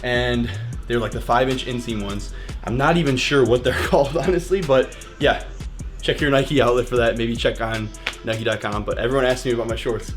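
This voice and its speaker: A man's voice: medium pitch, normal speed, soft background music. A nineteen-year-old man with an American accent, chatting casually and informally as he answers viewer questions in a fitness vlog.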